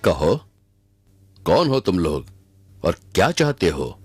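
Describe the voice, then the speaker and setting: A man's voice: deep voice, sing-song, slow pace, faint music behind, full character voice. A man playing a stately, authoritative emperor holding court in a Hindi cartoon. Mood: stern, regal. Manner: regal, authoritative.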